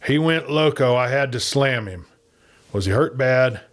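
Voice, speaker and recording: A man's voice: mid-range voice, expressive, a little slow, voice pushed up, close to an everyday voice. A man voicing a hard, angry outlaw boss in a western story, blunt and brooding. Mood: sharp.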